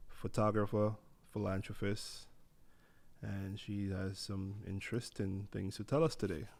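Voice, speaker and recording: Low gravelly male podcast host. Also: measured pace. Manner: relaxed, affirming, lots of short backchannel replies. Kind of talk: casual interview hosting.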